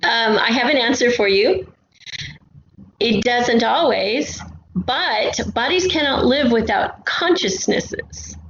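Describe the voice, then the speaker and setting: A woman's voice: fairly high pitch, animated, slow, a bit bright. A woman speaking as an interview guest, explaining her ideas casually and with assurance. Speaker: guest, audio drama writer. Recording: roomy, dull, noisy remote connection.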